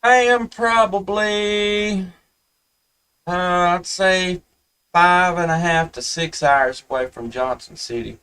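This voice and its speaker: A man with a Southern US accent chatting casually as a ham radio operator, in a folksy, halting way. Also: high voice, bright, forceful tone, clear, resonant tone, distant mic. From Tennessee, United States. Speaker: amateur radio operator, live streamer.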